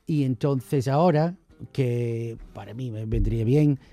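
A man's voice: mid-range voice, measured pace, vocal fry, warm tone, soft background music. A middle-aged American man with an American English accent, a wine expert guest chatting hesitantly and with good humor, often repeating his words. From United States. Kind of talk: casual interview chat.

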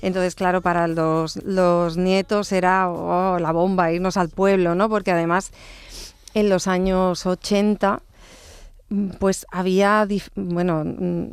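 A woman in her fifties, a nurse, talking thoughtfully in an interview, with hesitant restarts and phrases that trail off softly. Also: on the low side, little pitch movement, measured pace, smooth, dry recording. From born in England, raised in Barcelona. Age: 50s. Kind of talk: conversational interview.

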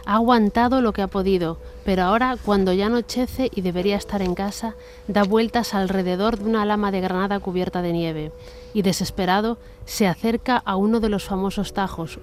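A woman's voice: medium pitch, a little mellow, a little hiss or hum. A woman narrating a scripted radio drama as its storyteller. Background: faint music.

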